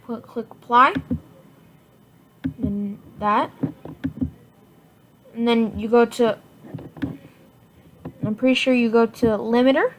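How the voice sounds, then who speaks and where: A woman giving a casual, unscripted software tutorial in an informal, rambling way, often correcting herself. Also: a little high, steady tone, slow pace, long pauses. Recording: noisy home recording with clicks.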